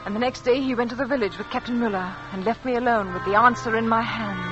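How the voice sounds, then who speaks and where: A dull vintage radio recording with prominent music under the voice. An actress plays a haunted village wife, hushed and halting, confiding her dread in dramatic mid-century radio style. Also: a little high, close to an everyday voice. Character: frightened, haunted French village wife recounting her suspicions. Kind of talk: 1940s-50s radio drama acting, confessional narration.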